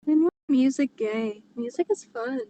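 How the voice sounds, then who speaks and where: A woman's voice: high voice, a little slow, dark tone, no echo, dull audio. A woman co-hosting a podcast, bantering casually over fan fiction with playful exasperation. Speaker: podcast co-host.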